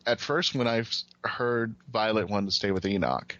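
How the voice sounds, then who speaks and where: A man's voice: fairly low pitch, moderate pace, fairly bright tone. A man talking informally as a podcast co-host interviewing a guest. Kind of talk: casual interview chat. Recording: dull lo-fi audio.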